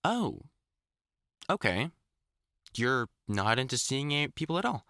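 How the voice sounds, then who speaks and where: A man's voice: medium pitch, very animated, slow pace, pushed and bright, clear, resonant tone, no room sound, full character voice. A man playing an older, experienced vampire hunter in an audio roleplay, talking informally and reassuringly like a mentor, with dry jokes and mild swearing. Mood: accepting, relaxed. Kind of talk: intimate one-sided audio roleplay, conversational acting.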